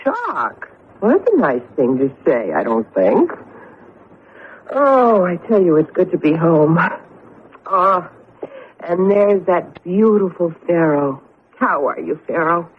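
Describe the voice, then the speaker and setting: Emotive wife, animated radio drama. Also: female voice, lowish voice, slow. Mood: bright, teasing. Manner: emotive, emphatic. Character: expressive, fretful, chatty wife. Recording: lo-fi radio recording, faint noise.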